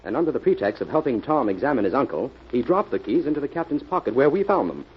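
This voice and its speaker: An actor playing a confident detective, explaining briskly and assuredly in 1940s radio drama style. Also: male voice, mid-range voice, very animated, lightly acted. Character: confident male detective explaining a solved case. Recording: muffled vintage radio recording, faint hiss.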